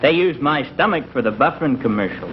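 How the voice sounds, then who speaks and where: An American man playing a well-fed, slow-witted, polite announcer's son with deadpan replies in 1950s stage comedy. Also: medium pitch, natural voice. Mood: earnest, deadpan. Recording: muffled old broadcast, faint noise.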